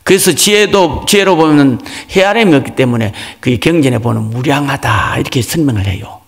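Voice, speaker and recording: Gravelly male monk preaching in a reverberant hall. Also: mid-range voice, animated, brisk. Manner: explanatory, with rhetorical questions. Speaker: Buddhist monk, dharma lecturer. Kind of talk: Buddhist sermon explaining scripture.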